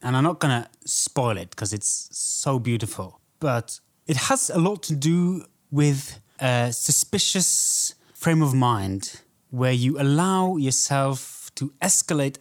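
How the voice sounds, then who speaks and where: Male podcast co-host, mid-range voice, casual and conversational, measured halting delivery. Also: mid-range voice, animated. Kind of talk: casual film podcast chat.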